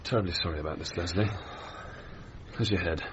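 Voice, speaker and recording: An actor playing an unflappable, courteous English gentleman athlete in a radio drama, well-spoken and understated, with a British accent. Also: low voice, fairly quick, lo-fi sound, background noise, lightly acted. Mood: apologetic, sober.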